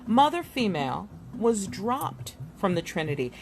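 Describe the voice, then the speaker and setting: A woman reading a history book aloud expressively, with theatrical emphasis and pauses. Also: mid-range voice, very animated. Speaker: book reader. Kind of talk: expressive read-aloud of an illustrated history book, with spoken asides. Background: music.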